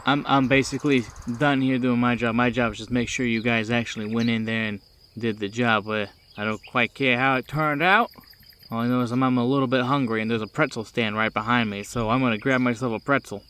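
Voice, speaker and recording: A man playing a spooked, guarded lookout for a mob boss in a tabletop game, with halting, casual street talk. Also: medium pitch, steady tone, sharp tone, clear, resonant tone, close to an everyday voice.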